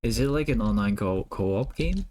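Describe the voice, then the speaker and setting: A man whose first language is Cantonese, chatting casually on a livestream while he draws, musing aloud softly with many fillers. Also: lowish voice, animated, normal speed, slight background noise. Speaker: streamer.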